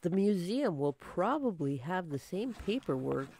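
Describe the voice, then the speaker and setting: A woman as a mellow, polite detective's assistant. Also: on the low side, sing-song, measured pace, slightly rough, full character voice. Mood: matter-of-fact. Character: polite, efficient female detective's office assistant. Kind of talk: old-time radio detective drama.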